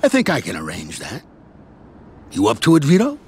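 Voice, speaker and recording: A gravelly old mob boss. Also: male voice, mid-range voice, huge pitch swings, moderate pace, darkish tone, full character voice. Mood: mocking. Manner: dry, blunt, authoritative. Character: older male mob boss, calm and commanding.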